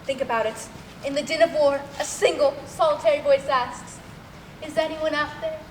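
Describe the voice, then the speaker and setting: A woman's voice: high voice, steady tone, normal speed, close to an everyday voice. A young woman playing a pompous, domineering radio playwright and announcer, grandiose and bossy, in theatrical stage comedy. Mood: imperious. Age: young adult. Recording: roomy noisy stage hall, far mic.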